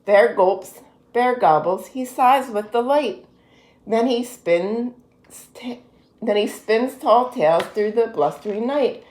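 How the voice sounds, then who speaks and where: Slow, higher-voiced woman reading a storybook aloud. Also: echoey room. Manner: expressive, deliberate storytelling with questions to a child. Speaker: story-time reader, adult with a young child. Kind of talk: children's picture-book read-aloud.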